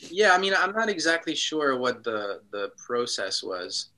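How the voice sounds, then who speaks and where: A man from Switzerland, a guest in a casual interview, speaking reflectively with frequent pauses and ums. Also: medium pitch, big pitch swings, sharp tone, rough recording.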